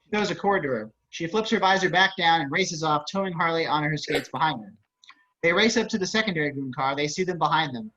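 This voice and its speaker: Male stage-directions reader, slightly high voice, scripted narration, lo-fi online call sound. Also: lively intonation, quick pace, bright, forceful tone. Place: indoors, on a video call. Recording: dull video-call audio.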